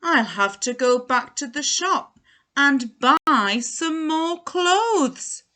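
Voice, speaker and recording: A woman's voice: sits high, lively intonation, extremely slow, pushed and bright, voice pushed up, exaggerated voice. Woman playing a hearty, sing-song kind giant. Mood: dismayed. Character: George, kind cheerful male giant, sings to himself.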